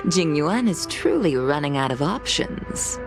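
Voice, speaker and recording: A woman's voice: on the low side, wildly swooping pitch, full character voice. A woman voicing a menacing, scornful cultist healer in a video game, taunting, with music behind her. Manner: slow, taunting. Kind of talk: dramatic video game acting.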